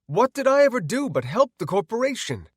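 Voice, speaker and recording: Casting: man acting anguished, defiant male gamer hero, foul-mouthed, vehement, very animated. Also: mid-range voice, normal speed, dry recording, studio quality, natural voice. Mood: indignant. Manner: vehement, swearing.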